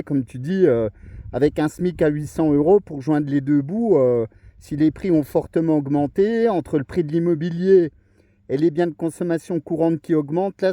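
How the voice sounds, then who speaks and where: A man's voice: a little high, mellow, no room sound. A man chatting on amateur radio, talkative and hesitant, with many fillers. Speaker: ham radio operator. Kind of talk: casual radio chat.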